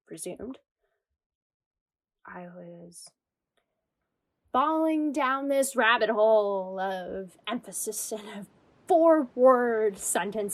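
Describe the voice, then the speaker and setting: A woman spiraling into existential dread in a radio comedy. She speaks slowly and confesses with wry, self-mocking drama, swearing as she goes. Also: medium pitch, heavily acted, poor audio. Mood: deflated.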